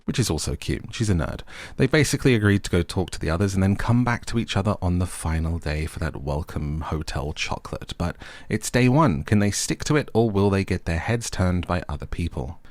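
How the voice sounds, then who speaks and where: A man's voice: sits low, expressive, fairly quick, no echo. A man hosting a scripted recap with playful, tongue-in-cheek asides. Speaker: host of a recap and review channel. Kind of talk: scripted recap narration with wry jokey asides.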